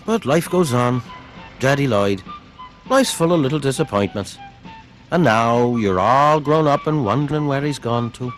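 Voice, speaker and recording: A man's voice: mid-range voice, close to an everyday voice, rough recording. A man voicing a sly, patronizing middle-aged saloon owner with a theatrical manner in a video game.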